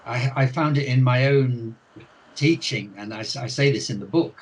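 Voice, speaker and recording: A man's voice: mid-range voice, normal speed, breathy edge. An older man, an author being interviewed, talking thoughtfully with many restarts and hesitations. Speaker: author and ELT expert guest. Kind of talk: unscripted interview talk.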